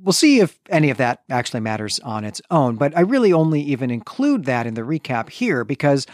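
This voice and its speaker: A male podcast co-host with a middling pitch talks through a literary recap. He is explanatory and conversational, often checking a point with a short rhetorical tag. The recording is dry and free of room echo. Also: very animated, brisk.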